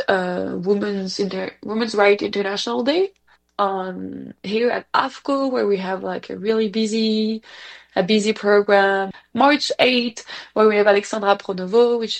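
Creaky-voiced woman with Belgian French accent. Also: medium pitch. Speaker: community organization representative, interviewee. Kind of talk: casual interview answers.

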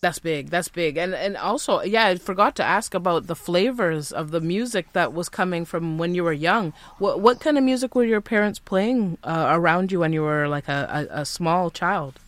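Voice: mid-range voice, normal speed, hardly any pauses, no echo. An interview host asking questions with hyped, enthusiastic slang exclamations. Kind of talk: casual interview.

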